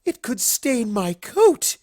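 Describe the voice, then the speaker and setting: A man voicing a vain, fretful, ladylike female cartoon pony in a drawn-out, theatrical, fussy way. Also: very high-pitched, sing-song, a little mellow, dry recording, studio quality, voice pushed up, heavily acted. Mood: fretful, haughty.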